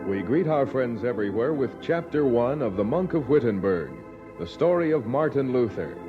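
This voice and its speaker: A man's voice: medium pitch, very animated, moderate pace. A man announcing and narrating a radio drama in a solemn, scripted style. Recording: dull old radio recording. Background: music.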